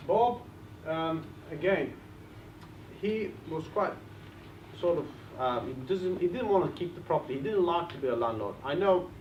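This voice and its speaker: A man from Bulgaria with a Bulgarian accent, presenting at a live seminar and speaking straight to the audience with questions. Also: fairly high pitch. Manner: animated, addresses the audience directly with questions. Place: meeting room with an audience. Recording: echoey room, distant mic, muffled, faint hiss.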